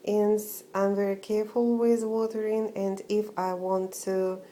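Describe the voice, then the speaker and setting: A woman whose first language is Russian speaks slowly and haltingly, often restarting her sentences and filling gaps with "uh". Her voice is soft and mellow, with a faint hiss underneath. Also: medium pitch, flat delivery. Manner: hesitant, many fillers and restarts. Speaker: orchid-growing vlogger. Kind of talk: casual home vlog.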